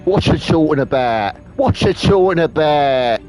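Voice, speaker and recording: A man's voice: a little high, expressive, measured pace, darkish tone. A man giving casual, sweary, jokey commentary while playing a video game. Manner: sweary, jokey, mocking, laughs often. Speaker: gaming commentator. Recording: voice over game audio with faint music.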